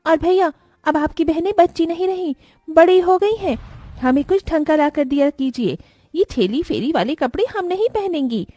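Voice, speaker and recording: Woman as a high, haughty spoiled young sister. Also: lively intonation, normal speed, mellow, dry recording. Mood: scornful. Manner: haughty, scolding. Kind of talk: animated moral-story acting.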